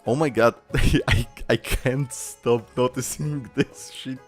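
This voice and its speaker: A man's voice: medium pitch, steady tone, slow, faint music behind. A young man casually reacting to game trailers, rambling, swearing and laughing between thoughts. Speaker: reaction video creator.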